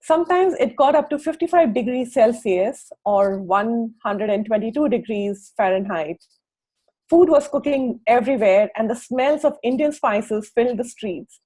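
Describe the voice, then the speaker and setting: A woman with a fairly high, husky voice co-hosts a book club. She reads passages aloud and breaks off now and then to comment informally. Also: moderate pace, warm tone, poor audio.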